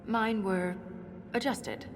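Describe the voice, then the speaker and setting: An actress playing a scholarly, principled dwarf historian in a video game, speaking in a measured, earnest, dryly pointed way. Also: female voice, medium pitch, steady tone, noisy background, natural voice. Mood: principled, reflective. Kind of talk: dramatic video game dialogue.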